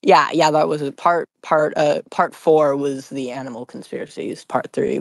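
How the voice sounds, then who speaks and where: A man's voice: on the high side, fairly even intonation, moderate pace, vocal fry, no echo, studio quality. A man joking and chatting casually as a panelist on a group podcast.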